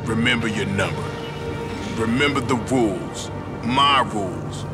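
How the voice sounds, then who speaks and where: Man playing a stern prison guard, loud music. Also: lowish voice, a little slow, lightly acted. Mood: barking orders. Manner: commanding, drilling out rules. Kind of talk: cinematic video game acting.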